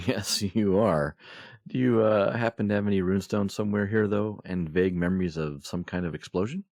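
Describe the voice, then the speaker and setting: A man's voice: low-pitched, moderate pace, a little mellow, dry recording, clean studio sound. A man playing a wisecracking gnome with a wry, offhand delivery in a comedic audio skit. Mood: amused. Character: dry, wisecracking male gnome.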